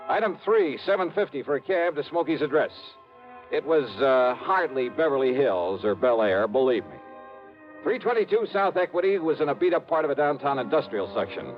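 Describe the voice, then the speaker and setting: An actor playing a hardboiled male insurance investigator, narrating and talking in a brisk, wisecracking 1950s radio drama style. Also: fairly high pitch, lively intonation, faint music behind, close to an everyday voice. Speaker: narrator and lead. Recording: muffled old radio recording.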